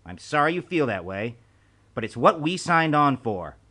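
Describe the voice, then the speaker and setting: A man's voice: a little high, very animated, moderate pace, bright, forceful tone, voice pushed up, full character voice. A man voicing an elderly male spymaster, precise and anxious, speaking urgently. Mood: grim. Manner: urgent, pleading.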